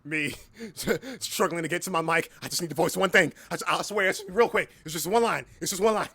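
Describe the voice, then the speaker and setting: A man's voice: high-pitched, brisk, creaky, sharp tone, breathy edge. A man hosting a casual livestream chat, speaking informally, with repeated words and occasional swearing. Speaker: livestream host and hobby voice actor.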